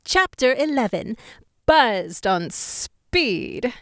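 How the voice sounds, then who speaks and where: A woman voicing a slow, deliberate announcer of messages in a fan audio drama. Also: a little high, sing-song, gravelly, no room sound, clean studio sound, exaggerated voice. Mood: neutral announcing.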